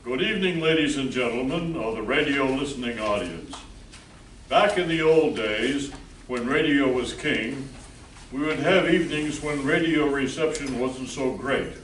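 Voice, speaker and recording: A man with a mid-pitched voice narrates an old-time radio play as a formal showman announcer. He sounds distant in an echoey room, with faint noise. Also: expressive, measured pace, a bit bright. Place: live stage show in an echoey room.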